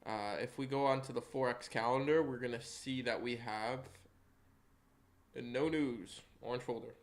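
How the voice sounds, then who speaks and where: A man live-streaming day-trading commentary, hoarse and losing his voice, who chats with viewers and walks through charts in a casual way. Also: mid-range voice, fairly even intonation, normal speed. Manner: chatty, greets chat viewers by name.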